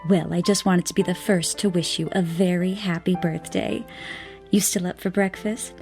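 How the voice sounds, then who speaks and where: Tender sweetheart , woman acting: casual audio-drama delivery, lowish female voice, music underneath. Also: fairly even intonation, normal speed, a little mellow, natural voice. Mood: affectionate. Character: affectionate, gentle woman, the hero's romantic partner.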